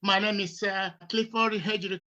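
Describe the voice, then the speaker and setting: Bright-voiced Nigerian-born man, lo-fi audio. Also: high-pitched, moderate pace. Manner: polite, formal, steady. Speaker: panelist. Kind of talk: self-introduction on a panel. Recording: dull lo-fi audio.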